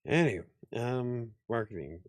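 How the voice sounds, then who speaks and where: A man hosting a casual after-hours chat show, rambling, laughing often and swearing now and then, with a slightly raspy voice. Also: medium pitch, lively intonation, measured pace, no room sound, studio quality. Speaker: host.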